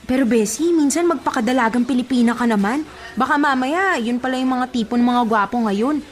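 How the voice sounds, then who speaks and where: Casting: sassy young female best friend at the office played by an actress, very high voice, chatty, coy, teasing radio drama style. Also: a little fast, close to an everyday voice. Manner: chatty, coy, mock-scolding.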